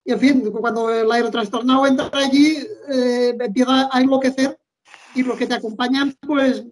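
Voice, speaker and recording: A man chatting excitedly about aircraft on a video call, animated and quick to laugh. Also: very high voice, a little hiss or hum. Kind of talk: casual expert chat.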